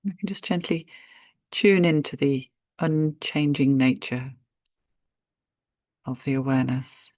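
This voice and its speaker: Slow, gentle woman guiding meditation, low-leaning neutral pitch. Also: no echo, studio quality. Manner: unhurried, gentle, spacious pauses between short phrases. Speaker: meditation guide. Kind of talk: guided meditation narration.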